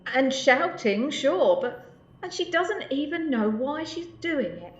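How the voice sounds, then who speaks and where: Casting: woman playing breezy, coaxing alien traveller, high female voice, glib patter, comic radio drama, roomy sound. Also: big pitch swings, takes it slowly, exaggerated voice. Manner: glib, persuasive, broken-up patter. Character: quick-witted, breezy female alien hitchhiker, coaxing and flippant. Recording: dull, echoey home recording.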